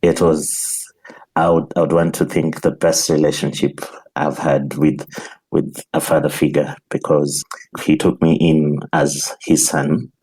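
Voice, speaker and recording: Very deep, husky Kenyan man. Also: level tone, a little slow, a little mellow, poor audio. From Nairobi, Kenya. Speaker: podcast guest. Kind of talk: personal recollection in an interview.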